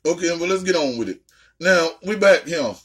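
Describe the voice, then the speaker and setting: A young adult woman hosting a casual reaction chat, talking loud and brash, swearing a lot and trash-talking playfully. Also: lowish voice, lively intonation, fairly quick. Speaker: host.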